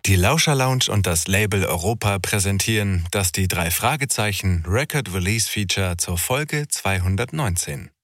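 A man's voice: low voice, animated, normal speed, hardly any pauses, a bit bright. A man reading a formal program announcement. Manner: formal presenter delivery. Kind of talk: scripted announcement.

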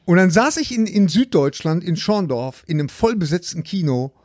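Guy chatting about films, highish voice, keeps repeating himself and stalling, super dry sound. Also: animated, darkish tone, dry recording. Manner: halting, repeats words and phrases. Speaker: podcast co-host. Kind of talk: casual chat, anecdotes about films.

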